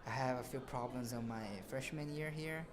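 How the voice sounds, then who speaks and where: A young man in his twenties from Brazil, a student answering interview questions in a relaxed, hesitant way, often pausing to search for words. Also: medium pitch, crowd noise. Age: 20s. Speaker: student guest.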